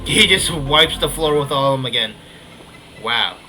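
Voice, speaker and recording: Bright, punchy male game streamer. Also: medium pitch, moderate pace, soft background music. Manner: excitable, slangy, repeats himself. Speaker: streamer reacting to a video game. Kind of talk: casual reaction commentary.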